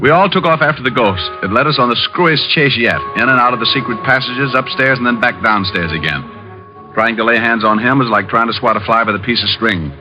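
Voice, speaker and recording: An actor playing a tough, wry private detective in an old-time radio drama, both narrating and trading wisecracks. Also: male voice, medium pitch, fast talker, close to an everyday voice. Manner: wry, sardonic. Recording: dull old radio broadcast with music.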